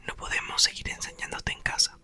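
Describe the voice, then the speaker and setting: An awkward father, a science professor, struggles to break news to his daughter in a storybook, as a man whispers his lines. His speech is hushed and halting, with a bright, airy tone. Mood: reassuring.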